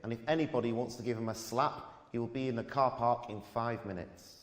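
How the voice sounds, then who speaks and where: A man's voice: mid-range voice, steady tone, a little fast, in a large hall. A man giving a mock-stern announcement to the audience at a comedy show.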